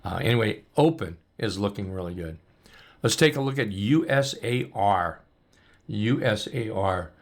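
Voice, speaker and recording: Hoarse gravelly man walking through charts. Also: fairly low pitch, lively intonation, measured pace. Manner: upbeat, loose, many ums and restarts. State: losing his voice. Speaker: trading coach. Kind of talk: casual chart walkthrough.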